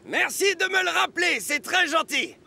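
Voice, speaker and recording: Grumpy, high-pitched, creaky cartoon locomotive. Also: male voice, big pitch swings, moderate pace, sharp tone, slight background noise, exaggerated voice. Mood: forced politeness. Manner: grumbling, exclamatory, indignant. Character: grumpy, complaining male narrow-gauge steam locomotive. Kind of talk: children's cartoon dub acting. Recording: music behind the voice.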